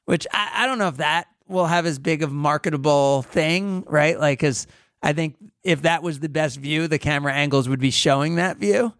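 High-voiced male sports radio host. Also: moderate pace, a bit bright, clear, resonant tone, no echo, clean studio sound. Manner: conversational, frequent "like" and "right?" fillers. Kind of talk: casual sports radio chat.

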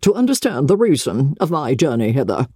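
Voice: mid-range voice, sing-song, fairly quick, warm tone, husky, no room sound, studio quality. A reader voicing a haughty, imperious elderly aristocratic lady, speaking stiffly and commandingly in an audiobook. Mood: angry. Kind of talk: audiobook character reading.

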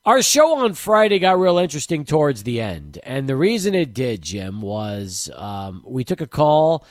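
A man's voice: mid-range voice, big pitch swings, normal speed, no room sound, studio quality. A man hosting a sports radio show, talking in a loose, rambling way with many restarts and repeated words. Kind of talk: casual radio interview.

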